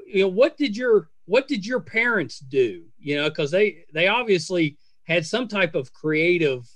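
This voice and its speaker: A middle-aged man hosts a casual interview podcast with an effusive, praising manner. His voice sits a little high for a man, and the sound is dry with hardly any room in it. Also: no echo. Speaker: podcast host.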